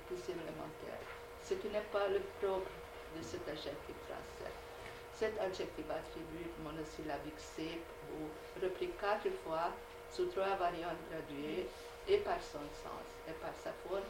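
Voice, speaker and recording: A woman whose first language is Hungarian, reading a measured academic lecture with frequent hesitations. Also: fairly low pitch, recorded from a distance. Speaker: conference speaker. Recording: echoey hall recorded from afar, noisy.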